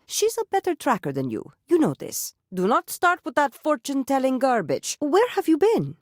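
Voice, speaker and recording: A woman's voice: sits high, wildly swooping pitch, moderate pace, no room sound, clean studio sound. A woman voicing a strict, proud, middle-aged circus matriarch with a theatrical lilt in a video game. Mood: commanding. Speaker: voice actress.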